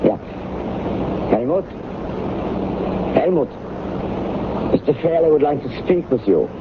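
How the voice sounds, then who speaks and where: A man's voice: on the high side, expressive, takes it slowly. An actor playing a dutiful male German sound technician, businesslike and brief, in an old-time radio drama. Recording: tinny, noisy old radio recording.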